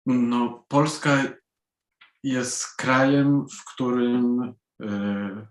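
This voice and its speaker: An echoey recording of a man from Poland, a native Polish speaker, in a panel interview. His pace is slow and deliberate, with pauses inside his sentences, and his pitch sits in the middle. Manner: deliberate, pausing mid-sentence. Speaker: author. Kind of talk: panel interview, answering questions. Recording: echoey room sound.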